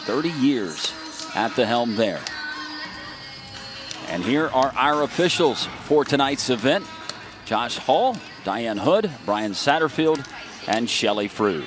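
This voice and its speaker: A man's voice: medium pitch, expressive, takes it slowly, pauses often. A man calling a volleyball broadcast in a polished, upbeat announcer manner, speaking into a handheld mic courtside in an indoor arena. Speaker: play-by-play announcer. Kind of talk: sports broadcast commentary. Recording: arena broadcast with music bed. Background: music.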